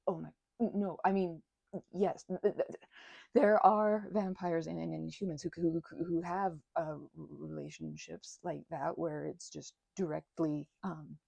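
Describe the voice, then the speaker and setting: Woman acting a timid, stammering vampire, creaky soft voice. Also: on the low side, fairly even intonation, measured pace, no echo, heavily acted. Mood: flustered, stammering. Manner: stammering, flustered, nervous giggles, trailing into breathy murmurs. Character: timid, grateful, nervously rambling female vampire. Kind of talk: intimate audio roleplay to the listener.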